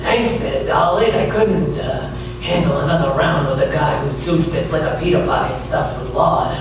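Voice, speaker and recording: A man's voice: on the high side, unhurried, background noise, natural voice. A man playing a wry, hardboiled private detective who narrates a comic noir radio play with sardonic wisecracks. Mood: nervous joking. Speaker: narrator and lead character. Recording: roomy, distant microphone, music behind.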